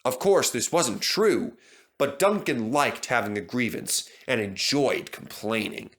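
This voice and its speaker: A man's voice: medium pitch, very animated, a little slow, fairly bright tone. A man reading a scripted children's story as narrator.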